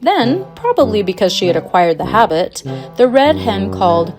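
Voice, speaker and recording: A woman narrating a scripted children's fairytale with an expressive storybook delivery. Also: mid-range voice, normal speed. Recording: music behind the narration. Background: music.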